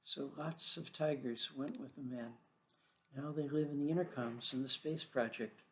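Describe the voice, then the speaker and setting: A man's voice: medium pitch, steady tone, normal speed, a little hiss or hum, rough recording. A man reading a scripted children's story aloud as the storyteller.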